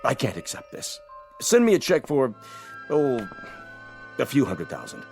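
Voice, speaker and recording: An actor playing a polished, flattering and deferential dignitary in a radio drama. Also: male voice, mid-range voice, measured pace, soft background music. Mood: courtly, coy. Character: courtly, flattering male dignitary, genteel fundraiser and adviser.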